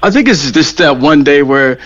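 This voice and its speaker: An American man guesting on a radio show, relaxed and rambling, laughing easily. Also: on the high side, normal speed, highs cut off. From United States. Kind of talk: casual interview chat.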